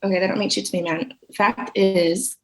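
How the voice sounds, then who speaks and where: Woman playing raspy, blunt streetwise friend. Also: mid-range voice, fairly even intonation, moderate pace, close to an everyday voice. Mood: blunt, teasing. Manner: blunt, slangy. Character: blunt, streetwise, foul-mouthed friend talking sense. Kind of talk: screenplay table read acting.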